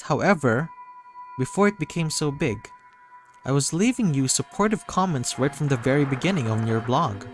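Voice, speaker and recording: A man voicing an excitable, affectionate young female superfan in a visual novel, gushing and emphatic. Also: medium pitch, close to an everyday voice. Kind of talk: visual novel character acting. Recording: music behind the voice.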